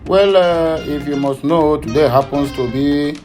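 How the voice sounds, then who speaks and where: A middle-aged Nigerian man with an Igbo accent runs through the morning headlines in a loose, talky way. His voice sits slightly high for a man. He pauses on frequent fillers and slips into Pidgin and Igbo asides. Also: over music. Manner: chatty, hesitant with many "uh" fillers, switching into Pidgin and Igbo asides. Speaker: online news host. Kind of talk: informal news headline commentary.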